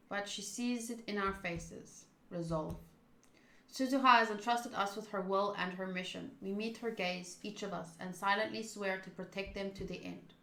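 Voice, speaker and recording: A woman's voice: mid-range voice, a bit bright, echoey room. A woman streaming a visual novel, reading the narration aloud and reacting casually and expressively.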